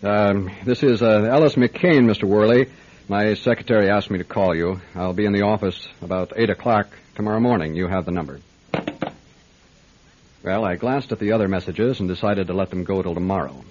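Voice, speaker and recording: A dull lo-fi radio recording of a man playing a methodical private detective. His voice is low and dry, telling his case in wry, matter-of-fact style. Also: brisk, darkish tone, a little hiss or hum, close to an everyday voice. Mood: calm questioning. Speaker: actor in radio drama. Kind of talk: radio mystery acting, first-person detective narration.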